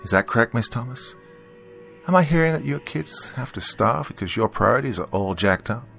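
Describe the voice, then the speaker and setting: A man acting a pushy, condescending debt collector, higher-voiced. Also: animated, moderate pace, creaky, a bit bright, soft background music. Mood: smug, badgering. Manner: stern, badgering, falsely helpful. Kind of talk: audiobook character dialogue.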